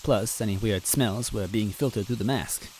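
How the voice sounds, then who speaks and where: A man narrating a story in first person in a wry, measured way. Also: fairly low pitch, darkish tone, a little hiss or hum, poor audio. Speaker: story reader.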